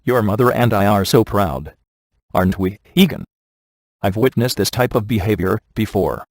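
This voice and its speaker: Wisecracking deadpan ghost hunter, dry recording. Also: male voice, fairly low pitch, normal speed, no echo. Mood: dry, smug. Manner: deadpan, sarcastic. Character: wisecracking, smug male ghost hunter in a comic adventure. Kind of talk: video game voice acting.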